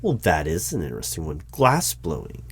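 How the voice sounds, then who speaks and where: A man playing a formal, unflappable hiring manager at a secret bureau, speaking in a measured, deadpan way in an ASMR interview roleplay. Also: very deep voice, heavily acted. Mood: formal, curious. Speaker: interviewer in a one-sided roleplay. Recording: clear noise with keyboard typing. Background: computer keyboard typing and clicks.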